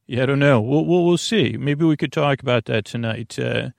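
A man hosting a sleep podcast with a halting, meandering, self-deprecating and reassuring improvised monologue. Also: mid-range voice, vocal fry, dry recording, studio quality. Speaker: podcast host. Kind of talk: rambling improvised bedtime monologue.

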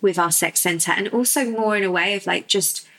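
A woman who works as a sexuality coach, explaining softly and unhurriedly as a guest in a podcast interview, with many fillers. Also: mid-range voice, a little fast, poor audio.

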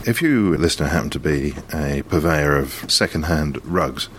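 A man presenting an on-location interview, speaking in a measured, wryly playful way. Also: very deep voice, lively intonation, noisy background. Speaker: radio presenter.

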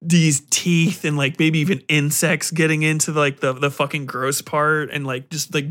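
A man hosting a podcast, giving casual, rambling commentary in an animated, slangy, profanity-laced way. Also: on the high side, moderate pace. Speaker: podcast host.

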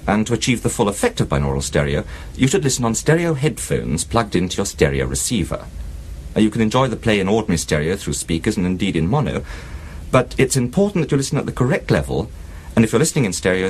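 A man presenting a 1970s radio introduction in a formal, instructional way. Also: fairly low pitch. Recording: archive 1970s radio, faint noise.

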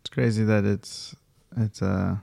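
A man's voice: lowish voice, little pitch movement, measured pace, gravelly, mellow, no room sound, studio quality. A young man chatting casually and informally as a podcast co-host, using plenty of slang. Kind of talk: casual chat.

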